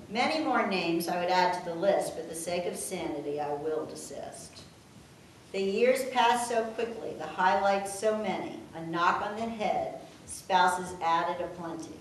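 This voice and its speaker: An older woman reading a rhyming tribute poem aloud with a measured, sing-song delivery. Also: on the low side. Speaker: family member reading her own poem. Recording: echoey room, distant mic, faint noise.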